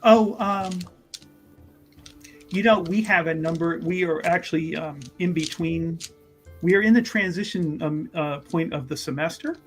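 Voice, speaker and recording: A woman's voice: lowish voice, unhurried. A woman playing a tabletop roleplaying game, improvising in a rambling, hesitant way with music behind her. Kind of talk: improvised in-character game chat.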